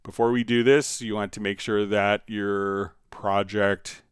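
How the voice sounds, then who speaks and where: A man hosting a software tutorial livestream, explaining step by step in an informal, halting way as he thinks aloud. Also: fairly low pitch, little pitch movement, smooth, no echo, studio quality. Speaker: host answering viewer questions on a software livestream.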